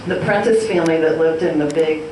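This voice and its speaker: A woman presenting old photos to a local group, chatting with many ums and pauses. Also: deep voice. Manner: hesitant, many ums, fond. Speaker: presenter of a community history session. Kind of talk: casual storytelling to a group. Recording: echoey hall, distant mic, noisy.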